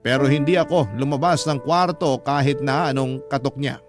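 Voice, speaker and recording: A man's voice: medium pitch, normal speed, natural voice. A man reading a betrayed wife's first-person story as a radio host, in sorrowful, dramatic scripted narration. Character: betrayed wife telling her story, hurt and sorrowful. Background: background music.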